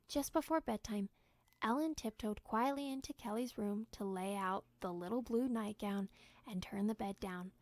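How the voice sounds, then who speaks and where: Higher-voiced woman reading a story aloud. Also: creaky, dry recording. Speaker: storybook reader. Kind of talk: expressive read-aloud narration.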